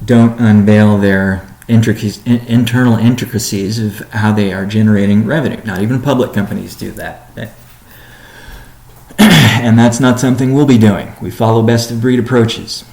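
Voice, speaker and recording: A man in a company chief's role works through listener questions on a weekly call, slow and deliberate in a businesslike tone. His voice sits fairly low and carries a gravelly edge. The room around him gives it a noticeable echo. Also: fairly even intonation, a little mellow. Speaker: company CEO answering community questions.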